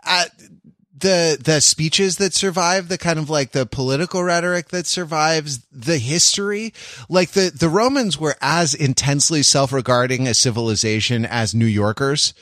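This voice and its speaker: A man's voice: fairly high pitch, moderate pace, a bit bright, dry recording, studio quality. A man co-hosting a podcast in casual, jokey banter, wandering into bookish digressions. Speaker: podcast co-host.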